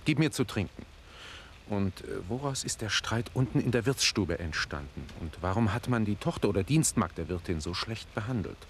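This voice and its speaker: An actor playing a demanding, moralizing gentleman master in a German radio play, imperious and pompous. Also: on the low side, animated, normal speed, a little hiss or hum. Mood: impatient.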